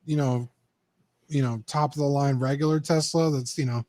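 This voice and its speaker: A man's voice: medium pitch, fairly even intonation, normal speed, darkish tone, no echo. A young man co-hosting a casual collectibles podcast, rambling with lots of ums and the odd swear. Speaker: co-host.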